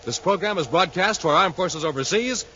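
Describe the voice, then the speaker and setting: A man announcing and pitching enthusiastically in showy 1950s radio style, on an old recording. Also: expressive, a little fast, sharp tone, slightly rough, muffled recording.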